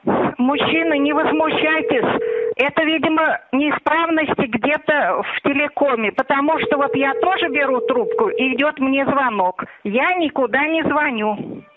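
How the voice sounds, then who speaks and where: A man's voice: very high-pitched, a little slow, background noise. A man posing as a fed-up housewife on the phone. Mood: indignant. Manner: indignant, insistent, talks over others. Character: fed-up middle-aged woman answering home phone. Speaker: prank caller. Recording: tinny phone line.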